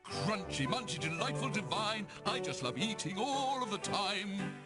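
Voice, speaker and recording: A gleeful glutton from an old game cutscene. The voice sits high and delivers a boastful comic patter song, with loud music right behind it. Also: male voice, very animated, normal speed.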